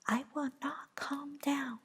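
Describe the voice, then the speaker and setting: A furious teenage witch girl lashes out in a high, shrill whisper, as a woman reads her lines. Her scolding stays sharp-tongued and raised in pitch throughout. The whisper is slow and unhurried. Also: steady tone, a little hiss or hum.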